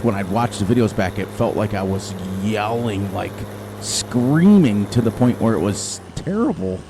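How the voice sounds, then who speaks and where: Middle-aged man, lowish voice, casual rambling game commentary, engine noise behind. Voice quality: loud voice. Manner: rambling, self-correcting, thinking aloud. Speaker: gaming channel host. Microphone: desk microphone turned down low.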